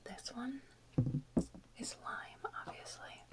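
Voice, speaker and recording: A woman hosting an ASMR video in a whisper, chatting casually and playfully to her viewers. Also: slow.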